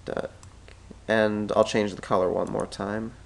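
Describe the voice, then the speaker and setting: Stuffy, gravelly man talking through charts. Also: fairly low pitch, level tone. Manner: thinking aloud, halting, self-correcting. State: stuffy with a cold, clearing his throat. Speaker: stock market analyst. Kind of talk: screen-recorded chart tutorial.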